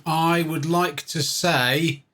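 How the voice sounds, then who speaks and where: A man's voice: a little high, fairly even intonation, extremely slow, bright, forceful tone. A man hosting a livestream, talking casually and directly to his viewers. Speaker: host of a livestream channel.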